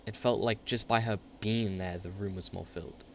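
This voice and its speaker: A man's voice: lowish voice, fairly even intonation, moderate pace, creaky, a little hiss or hum. A young man reading scripted dramatic narration for a student radio play. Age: young adult.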